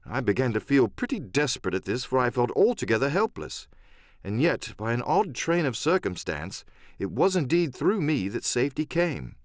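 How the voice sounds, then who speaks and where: Animated male audiobook narrator. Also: mid-range voice, moderate pace, no room sound, clean studio sound. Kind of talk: dramatic scripted story narration.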